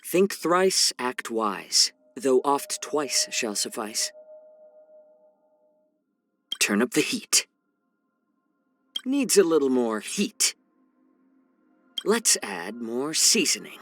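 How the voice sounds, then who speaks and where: An actor playing a calm, wry, courteous male healer and strategist in a video game, with a measured, genteel, gently teasing delivery. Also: medium pitch, soft background music, full character voice. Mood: poised, encouraging. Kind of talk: scripted video game character voice lines.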